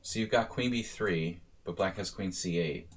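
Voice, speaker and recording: A deep-voiced man coaching over a live chess stream. He thinks aloud in a loose, casual way, trailing off and restarting, then pushing his voice up when a point matters. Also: moderate pace. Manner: thinking aloud, casual, with rising bursts of emphasis.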